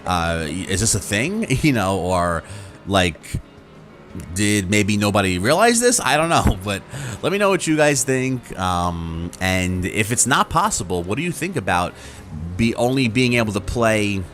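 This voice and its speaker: A man's voice: lowish voice, very animated, a little slow, a bit bright, soft background music. A man talking casually and conversationally to his viewers as a video host, rambling and acting out imagined reactions. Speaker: YouTube host.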